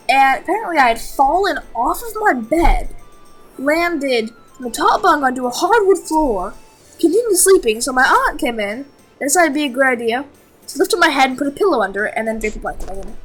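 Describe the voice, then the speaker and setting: A woman's voice: high voice, a bit bright, poor audio. A woman chatting and telling stories casually while playing a game. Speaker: video co-host. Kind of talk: casual storytelling chat over a game.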